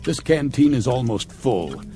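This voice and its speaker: A man's voice: medium pitch, sing-song, measured pace, mellow. An actor playing a stern captain in a vintage TV drama, commanding and sarcastic, fiercely protective of his crew. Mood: suspicious, sarcastic. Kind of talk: mid-century television drama acting. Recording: music under dialogue.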